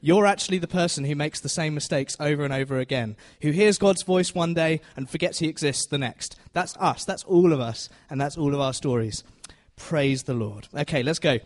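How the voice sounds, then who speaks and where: A man's voice: on the high side, normal speed. A man giving an informal, conversational teaching sermon, self-deprecating and often checking in with his listeners. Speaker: preacher giving a Bible talk to a congregation.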